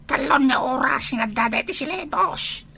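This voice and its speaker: Shrill, lively gossiping underling. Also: female voice, measured pace, gravelly, full character voice. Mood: teasing. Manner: animated, insinuating. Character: gossipy, insinuating underling of the boss. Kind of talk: Ilocano radio drama acting.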